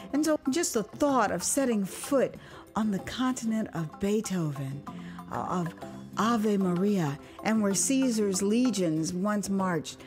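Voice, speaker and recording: An American woman narrating a dramatized memoir as its author, conversational and expressive, with dramatic pauses. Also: medium pitch. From United States (Houston, Texas). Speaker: author and storyteller.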